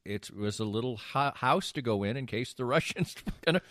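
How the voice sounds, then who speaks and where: A man's voice: mid-range voice, expressive, fairly quick, fairly bright tone, no room sound, clean studio sound. An older man hosting a casual podcast, telling anecdotes in a rambling way and restarting his sentences mid-thought. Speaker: podcast host.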